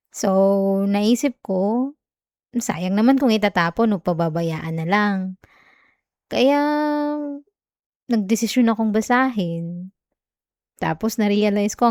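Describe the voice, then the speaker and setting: Sweet, affectionate young Filipino wife, played by a woman, soft and intimate ASMR bedtime roleplay, mid-pitched, very dry close-mic sound. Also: no echo. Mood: fond, reminiscing. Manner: soft, giggly, affectionate, coaxing. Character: sweet, clingy, teasing young wife soothing her partner. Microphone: close microphone, dry sound.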